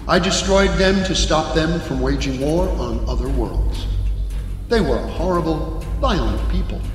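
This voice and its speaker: An actor in an audio drama playing a courteous ancient artificial intelligence, speaking in a measured, polite, unhurried way. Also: male voice, a little high, natural voice. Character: courteous ancient male-voiced artificial intelligence, calm alien-planet guardian. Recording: echoey hall reverb, music behind.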